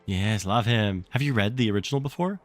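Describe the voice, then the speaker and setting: A man reading a children's story aloud on a livestream in an expressive, theatrical way, with casual asides to the chat. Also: on the low side, a little fast, faint music behind.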